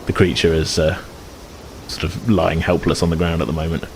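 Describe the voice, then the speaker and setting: A man's voice: deep voice, level tone, brisk, slight background noise, poor audio. A man acting as game master, describing scenes in a chatty, hesitant way. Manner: chatty, hesitant, many restarts.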